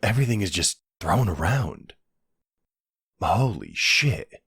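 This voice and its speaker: A man's voice: lowish voice, sing-song, slow, a bit bright, breathy edge, no room sound, studio quality, exaggerated voice. A man acting as a worried, insistent boyfriend in a roleplay audio drama, speaking in an earnest, pleading, exasperated way. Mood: stunned.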